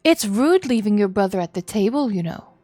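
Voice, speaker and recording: A woman's voice: medium pitch, lively intonation, brisk, mellow, no room sound, faint music behind, lightly acted. A woman voicing a cheerful, bossy and unhinged mother with an overly chirpy, sing-song delivery in a visual novel. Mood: bright, insistent.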